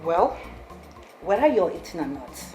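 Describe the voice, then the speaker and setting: A bossy, self-serving girlfriend in a film drama. Her delivery is highly animated, leaping up and down as she scolds and lectures with pointed questions. Music runs underneath the dialogue. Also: a little slow. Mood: incredulous, petulant. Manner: scolding, theatrical, rhetorical questions. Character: domineering, sharp-tongued, self-serving girlfriend of a widowed-style father. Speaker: actress.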